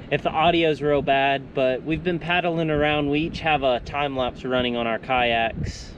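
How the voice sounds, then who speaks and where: A young man vlogging from a kayak on open water, relaxed and easygoing, speaking to an action camera. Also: medium pitch, dull audio. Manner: relaxed, easygoing, a few fillers. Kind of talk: casual travel vlog. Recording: wind noise on action camera.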